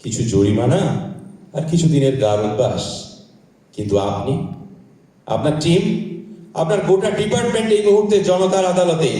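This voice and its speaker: A man's voice: on the high side, moderate pace, long pauses, dark tone, close to an everyday voice. A man acting a grieving, defiant air force wing commander in an audio drama, with a theatrical, biting, rhetorical delivery. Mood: defiant, triumphant. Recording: very echoey room, distant microphone.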